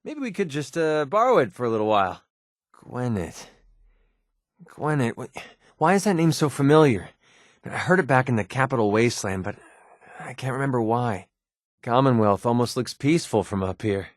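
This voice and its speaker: An actor playing a sardonic young American mercenary sniper in a video game, with a casual, wisecracking delivery. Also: male voice, mid-range voice, big pitch swings, moderate pace, long pauses, dry recording, studio quality, lightly acted. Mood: puzzled, reflective. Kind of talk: video game companion voice lines.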